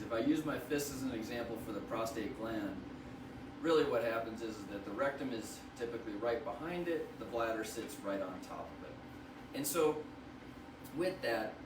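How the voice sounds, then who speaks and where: Hoarse man explaining, echoey and distant. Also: lowish voice. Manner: measured, restarts phrases often. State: hoarse from wildfire smoke. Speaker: radiation oncologist. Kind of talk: explaining to patients. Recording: roomy, from far off, noisy.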